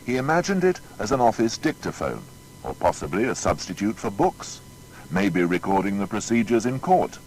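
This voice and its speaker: A man's voice: fairly low pitch, sing-song, normal speed, darkish tone, a little hiss or hum, poor audio. A man narrating a scripted biography in a dramatic, rhetorical manner.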